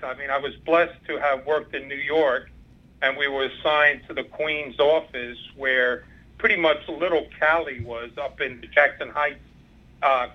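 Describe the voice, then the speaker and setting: A man in his sixties, born in Cuba and a native Spanish speaker, speaking as an interview guest over the phone. He talks in a rambling way, with many fillers and restarts. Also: a little high. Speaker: former undercover FBI agent, guest. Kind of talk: interview, recounting career. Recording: muffled phone line.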